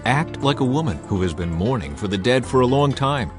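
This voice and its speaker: A man voicing a shrewd commander giving instructions briskly in a dramatized scripture reading. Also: medium pitch, animated, over music, close to an everyday voice. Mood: instructing.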